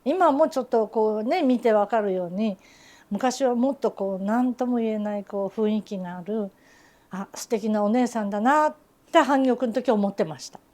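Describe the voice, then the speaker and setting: A woman who runs a traditional teahouse and performs as a geisha, talking fondly about shared memories in an interview. Her voice sits a little high, and she tells stories in a chatty way with frequent laughter. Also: normal speed, mellow. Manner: chatty storyteller, fond, often laughing. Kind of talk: reminiscing interview chat.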